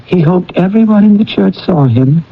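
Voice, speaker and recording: A gentle, humble family father in an old radio play. His voice is husky and a little high, with a tender, earnest way of speaking. The broadcast sounds muffled. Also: expressive, normal speed, full character voice. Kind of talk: old-time radio drama acting.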